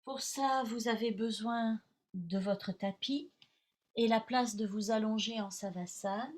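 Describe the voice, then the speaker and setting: A woman teaching yoga nidra, guiding relaxation in short, soothing, measured phrases with long pauses. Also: fairly high pitch. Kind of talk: guided relaxation instruction.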